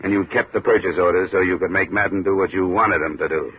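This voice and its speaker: An actor playing a terse, cynical, world-weary American investigator in a 1950s radio detective drama. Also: male voice, low-pitched, steady tone, fast talker, slight background noise, lightly acted. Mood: blunt questioning. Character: hard-boiled, cynical male investigator. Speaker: lead actor narrating as an insurance investigator. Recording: tinny 1950s radio recording, loud music.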